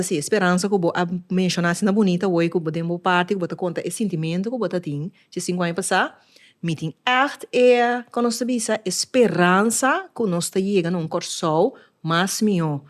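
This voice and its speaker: A woman's voice: fairly low pitch, brisk. A woman with a Curaçao Papiamentu accent, talking earnestly and reflectively in a casual podcast conversation.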